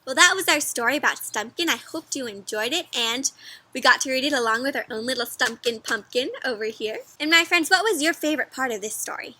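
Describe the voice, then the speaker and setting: Bright, high-voiced woman reading to kids. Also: a little fast, no room sound. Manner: expressive, encouraging, asks frequent questions to young listeners. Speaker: children's storybook read-aloud host and teacher. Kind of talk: interactive read-aloud for young children.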